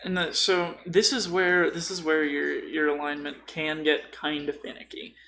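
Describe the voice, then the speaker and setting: A man's voice: on the high side, level tone, moderate pace, bright, forceful tone, a little hiss or hum. A man chatting casually on a podcast about tabletop role-playing, informal and rambling, swearing freely. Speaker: podcast co-speaker.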